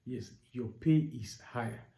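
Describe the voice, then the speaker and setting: Nigerian guy, warm mellow voice, keen and repetitive, roomy echo. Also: mid-range voice, unhurried. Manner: repetitive, enthusiastic, stacks repeated words and restarts. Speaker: recruitment agent. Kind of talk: informal advice vlog. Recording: echoey room.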